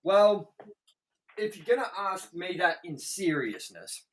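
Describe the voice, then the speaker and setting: A man's voice: high-pitched, sing-song, slow pace, poor audio. A man co-hosting a movie review show, talking casually and emphatically in a profane, opinionated rant. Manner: ranting, emphatic, swears heavily.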